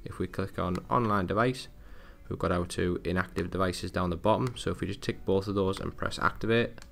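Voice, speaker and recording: A man giving an informal, matter-of-fact step-by-step software tutorial as a technical support presenter. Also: sits low, fairly even intonation, fairly quick, gravelly.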